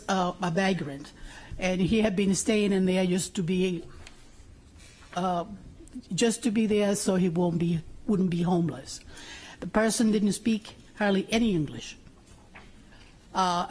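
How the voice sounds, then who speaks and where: A woman from Puerto Rico testifies informally as a local resident. Her voice sits at an average pitch, and she tells her points as stories, acting out remembered remarks. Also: measured pace, a little mellow. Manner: anecdotal, acts out remembered remarks. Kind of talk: informal spoken testimony at a public hearing. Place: city council chamber hearing.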